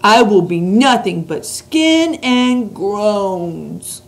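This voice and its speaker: A woman voicing a huge, very old male giant who speaks slowly and grandly, mangling his words. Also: medium pitch, expressive, gravelly, full character voice. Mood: disgusted.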